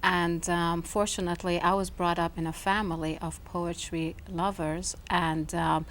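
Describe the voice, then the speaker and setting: A woman speaks as a guest in a television interview, her voice set slightly low. She talks softly and thoughtfully, pausing often to find her words. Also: little pitch movement. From Iran. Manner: soft-spoken, thoughtful, many ums. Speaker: guest, author. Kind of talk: interview conversation. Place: television studio.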